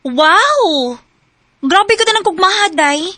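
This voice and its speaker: An actress playing an excitable young female friend, exclaiming and teasing in a radio drama. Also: very high-pitched, slow pace, faint music behind, heavily acted. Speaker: friend of Ana.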